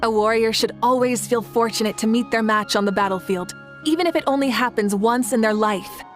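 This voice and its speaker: An actress plays a woman warrior champion from a video game. Her voice is on the high side, assured and spirited, with an easygoing good humor, and light music plays behind her. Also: brisk, natural voice. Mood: passionate. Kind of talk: video game character voice acting.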